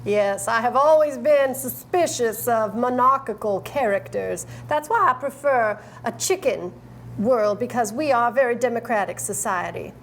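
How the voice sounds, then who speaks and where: A woman voicing a cheerful, chatty chicken puppet from Texas in a slow, sing-song, playful way. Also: fairly high pitch, expressive, slight background noise. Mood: mock-serious.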